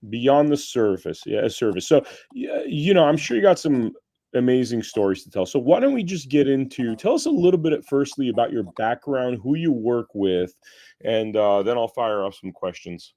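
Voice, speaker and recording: A man hosts a podcast interview in a mellow, dark voice. He is recorded dry and close, and his talk is hesitant, full of fillers and restarts. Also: mid-range voice, very animated, normal speed, dry recording. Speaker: podcast host. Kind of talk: casual interview hosting.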